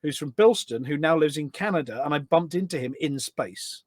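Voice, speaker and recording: A middle-aged man chatting casually as a podcast guest, telling stories in an animated way. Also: fairly high pitch, moderate pace, no room sound, clean studio sound. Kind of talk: casual anecdotal chat.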